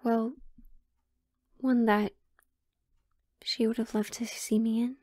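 A woman's voice: on the high side, level tone, slow pace, warm tone, no echo, studio quality. A woman playing a sheltered, pleading young royal in a romantic audio drama, with hesitant, formal old-fashioned phrasing. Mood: wistful, hesitant.

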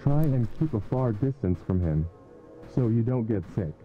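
A man narrating a warning broadcast in a flat, instructional delivery. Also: mid-range voice, normal speed, faint music behind. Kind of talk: scripted narration. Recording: tinny phone-like broadcast audio.

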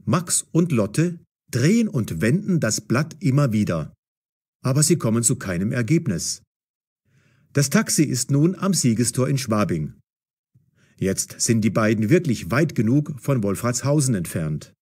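A man narrating an audio story for language learners with measured, clearly enunciated reading. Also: mid-range voice, big pitch swings, a little mellow.

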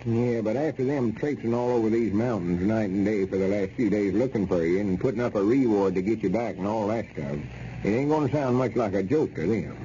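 A man's voice: lowish voice, brisk, warm tone, natural voice. An actor playing a proud, scheming old backwoods man with a rural Southern American accent and a folksy, exasperated drawl in an old radio comedy. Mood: rueful. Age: older. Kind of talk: 1930s-40s radio comedy acting. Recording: dull, noisy old radio recording.

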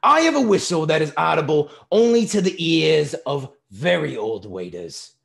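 A man playing a boorish, pompous father, loud, sarcastic and imperious as he bullies waiters in a dramatic story reading. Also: on the low side, big pitch swings, moderate pace, vocal fry. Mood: sarcastic, boisterous.

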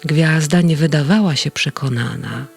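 A woman narrating a children's bedtime story slowly and tenderly. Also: low-pitched, creaky, faint music behind. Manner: slow and tender, with lively voice play. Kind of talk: storybook reading.

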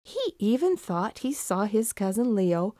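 A woman narrating a children's storybook in an expressive, deliberate storytelling manner. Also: mid-range voice, dry recording, studio quality.

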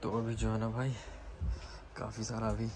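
A man's voice: on the low side, monotone, normal speed, a crowd in the background. A man, chatting casually and informally as a student vlogger into a phone, mixing in English words. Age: 20s. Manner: informal, repetitive filler phrases, Hindi mixed with English words. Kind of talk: casual vlog chat. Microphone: phone at arm's length. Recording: noisy street and indoor phone recording.

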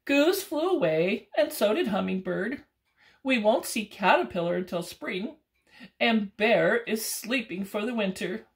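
A woman's voice: lively intonation, measured pace. A woman voicing a sad, earnest young raccoon in a storybook reading aloud. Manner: high, eager, emphatic storybook reading.

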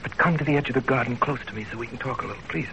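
A man's voice: mid-range voice, racing, sharp tone, a little hiss or hum, poor audio. An actor playing an earnest young man in love, in a 1930s radio drama. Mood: pleading, urgent. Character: earnest lovesick young man narrating his romance. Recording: old 1930s radio recording with music.

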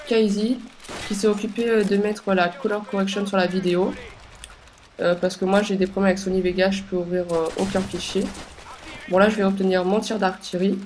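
A young woman with a stuffy, congested voice from a cold, talking over her game in a halting way with many pauses and fillers. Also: medium pitch, a little mellow, a little hiss or hum. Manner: hesitant, many filler sounds and restarts. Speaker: gameplay commentator. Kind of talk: casual gameplay commentary.

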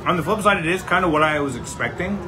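A man's voice: fairly high pitch, lively intonation, quick pace, music in the background. A man in his forties co-hosting a casual food review, agreeable, chiming in and adding details, filmed on a phone in a small wooden shed. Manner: agreeable, chiming in with short affirmations. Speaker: co-host. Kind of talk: casual chat.

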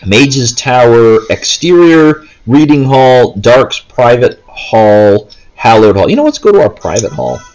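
A man's voice: medium pitch, sing-song, unhurried, hardly any pauses, darkish tone, poor audio. A man giving casual, unscripted gameplay commentary, hesitant and rambling as he plays. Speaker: gameplay video host. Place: indoors, in a room while playing a handheld console.

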